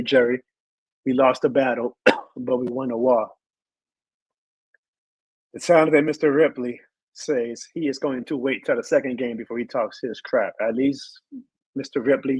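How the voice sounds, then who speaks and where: A hoarse man who has lost his voice, hosting a live sports show and ranting with emphatic repeated lines. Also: medium pitch, no echo, rough recording. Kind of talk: live sports rant and chat reading.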